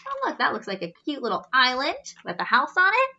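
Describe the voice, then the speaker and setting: A woman's voice: sits high, wildly swooping pitch, brisk, bright, forceful tone, dull audio. A woman reading a children's storybook aloud expressively, adding explanatory asides and wondering aloud to young listeners.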